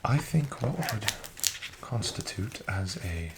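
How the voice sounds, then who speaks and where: A soft-spoken man doing an ASMR roleplay as a friendly, courteous casino dealer, speaking in a formal, measured and encouraging way. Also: lowish voice, lively intonation, heavy background noise. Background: card shuffling and clicks.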